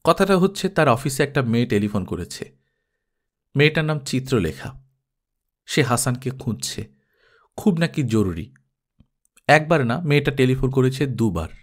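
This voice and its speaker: Man narrating a story, medium pitch. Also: sing-song, moderate pace, pauses often. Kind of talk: dramatic audiobook narration.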